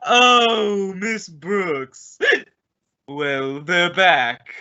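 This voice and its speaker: Grumpy, curt principal for radio comedy, male actor, sharp forceful voice, muffled recording. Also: high-pitched, lively intonation, extremely slow, smooth, exaggerated voice. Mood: exasperated. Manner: curt, exasperated. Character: grumpy, blustering male school principal with hiccups. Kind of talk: old-time radio comedy acting. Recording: dull lo-fi radio drama audio.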